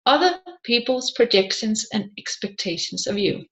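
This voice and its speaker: A woman reading a scripted audiobook in a measured, deliberate way, phrase by phrase. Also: fairly high pitch, animated, fairly bright tone, rough recording. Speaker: audiobook narrator.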